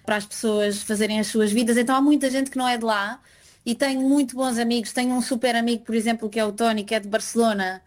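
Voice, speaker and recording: A woman's voice: on the high side, fairly even intonation, quick pace. A woman, a guest living in Madrid, reflecting thoughtfully on emigrant life in a conversational interview. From Portugal.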